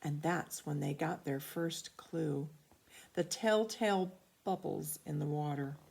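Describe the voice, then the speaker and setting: A woman reading a children's picture book aloud, expressively and emphatically, with dramatic pauses. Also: deep voice, gravelly, a little mellow, husky.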